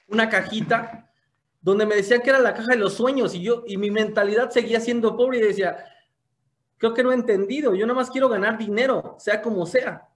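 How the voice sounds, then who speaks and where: High-voiced young man telling his story. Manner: storytelling, rhetorical questions to the audience. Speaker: motivational speaker giving a business testimony. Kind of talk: motivational testimony talk. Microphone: webcam on a video call.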